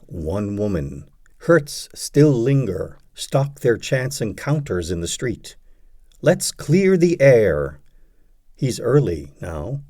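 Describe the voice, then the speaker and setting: A man narrating a poetry audiobook, reciting scripted verse in a measured, deliberate way. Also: medium pitch, mellow, no room sound, studio quality.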